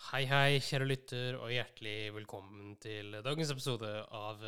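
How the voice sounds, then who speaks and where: A man hosting a casual podcast, often pausing mid-sentence as he talks. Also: mid-range voice, sharp tone, smooth. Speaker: podcast host. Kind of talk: casual conversational podcast chat.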